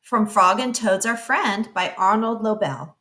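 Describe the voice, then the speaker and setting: A woman narrating a children's story as a teacher reading aloud, expressive and explanatory as if addressing children. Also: mid-range voice, measured pace.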